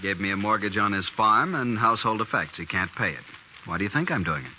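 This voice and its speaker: An actor playing a cold, haughty rich moneylender in a 1950s radio western, with clipped formal speech. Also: male voice, fairly low pitch, lively intonation, fast, sharp tone. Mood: cold, stern. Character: cold, hard-hearted rich male moneylender. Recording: muffled, hissy 1950s radio recording.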